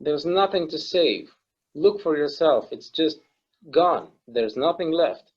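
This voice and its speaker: Actor as distraught male bystander, fairly high voice, stage-play read, muffled home recording. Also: expressive, slow. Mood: horrified.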